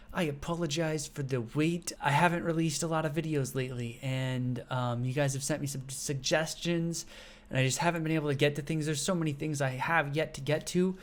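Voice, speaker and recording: A man hosting a casual reaction video, chatty and excitable, often breaking into laughs. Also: a little high.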